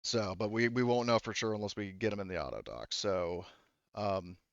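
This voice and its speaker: A man narrating a tabletop roleplaying game as game master, improvising in a halting, rambling, conversational way. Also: fairly low pitch, gravelly, smooth, no echo, muffled recording.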